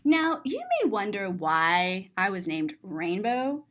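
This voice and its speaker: Woman reading as earnest, expressive little storybook girl. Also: medium pitch, slow pace. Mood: bright, proud. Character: sensitive, big-hearted little girl narrating her story. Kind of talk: rhyming children's storybook.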